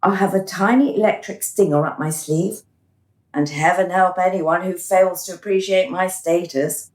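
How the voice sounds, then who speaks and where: An actress playing a composed, wry reincarnation official in a radio play. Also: female voice, lowish voice, steady tone, moderate pace, natural voice. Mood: wry, flirtatious. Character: brisk, composed female reincarnation official, playfully flirtatious. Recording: roomy, echoing radio play recording.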